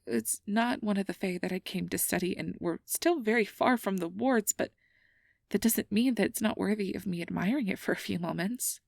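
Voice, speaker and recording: A woman playing a nervous, earnest young fantasy researcher in an ASMR roleplay, rambling and self-doubting, with soft breathy delivery. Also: mid-range voice, moderate pace, dry recording, studio quality. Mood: wistful, soft. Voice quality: breathy, often dropping to a soft whisper. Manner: flustered, stammering, over-explaining, self-doubting.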